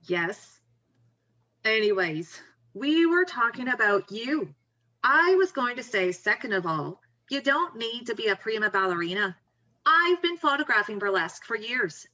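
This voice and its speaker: A woman's voice: on the high side, sing-song, normal speed, sharp tone, muffled recording. A woman playing an upbeat, pushy, encouraging young best friend in a screenplay table read, talking casually and emphatically. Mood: amused, dismissive.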